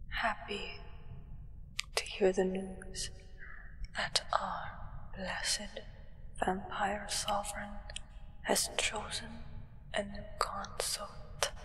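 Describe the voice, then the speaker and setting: A woman playing a solemn, devoted human acolyte of a vampire sovereign in an ASMR roleplay, speaking in a formal, reverent, breathy whisper. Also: medium pitch, monotone, fairly bright tone, long reverb tail. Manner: slow, measured, reverent, formal.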